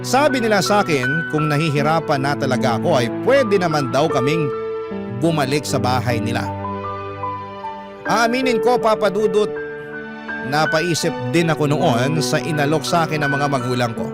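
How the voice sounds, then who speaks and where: A man's voice: on the high side, animated, moderate pace. A man narrating a listener's letter as a dramatic radio story. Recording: loud music under the voice. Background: loud instrumental music.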